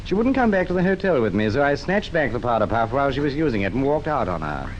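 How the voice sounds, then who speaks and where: A man's voice: very animated, fast, dull audio, close to an everyday voice. An actor playing a cocky, charming conman officer in an old radio drama, talking in a breezy, boastful way. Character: cocky, charming male conman officer recalling his swindles. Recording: old radio recording, faint noisy rumble.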